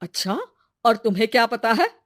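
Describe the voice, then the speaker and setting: A woman's voice: fairly high pitch, animated, normal speed, a bit bright. A woman voicing a curious, chatty young village woman in a moral-story cartoon, with colloquial exclamations. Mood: probing, amazed.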